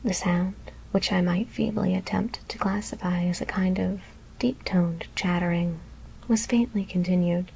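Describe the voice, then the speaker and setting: A woman whispers a horror story in a hushed, deliberate ASMR reading. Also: on the low side, fairly even intonation, creaky, rough recording. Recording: faint background noise.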